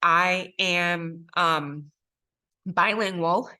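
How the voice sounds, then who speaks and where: A woman's voice: on the low side, extremely slow, bright, forceful tone, clean tone. A middle-aged woman from Puerto Rico, a native Spanish speaker and judge, giving an earnest motivational talk to students and stressing key phrases. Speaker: circuit court judge, guest speaker.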